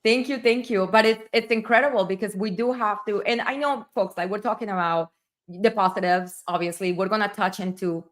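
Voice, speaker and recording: Chatty host with a Spanish accent. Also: female voice, mid-range voice, a little fast. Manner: enthusiastic, chatty, frequent fillers. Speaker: livestream host. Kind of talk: casual livestream conversation.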